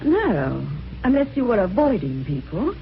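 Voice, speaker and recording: An actress playing a shrewd British wife in an old-time radio mystery, with an inquisitive, pointed way of talking. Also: female voice, mid-range voice, sing-song, measured pace. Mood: suspicious. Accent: British English. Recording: dull, faintly noisy vintage radio recording.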